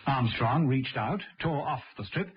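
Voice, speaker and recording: Radio drama narrator, wry and dramatic, very expressive, slightly muffled old recording. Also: male voice, medium pitch, a little slow, husky. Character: male arch storyteller, wryly addressing the hero. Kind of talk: scripted radio serial narration.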